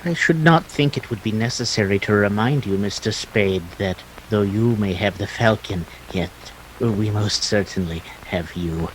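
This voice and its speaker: A sly, courteous criminal schemer from a 1940s radio play, acted by a man. The voice is fairly low, with formal, fussy, measured phrasing and quiet menace, over faint background noise. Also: natural voice, poor audio. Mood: coolly polite. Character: polite, precise, slyly menacing male criminal schemer. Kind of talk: 1940s radio drama acting.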